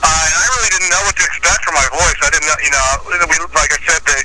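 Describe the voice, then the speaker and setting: A man's voice: a little high, fast. A man who sings in a metal band, talking casually in an interview and rambling with many "you know"s and "like"s. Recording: loud background noise.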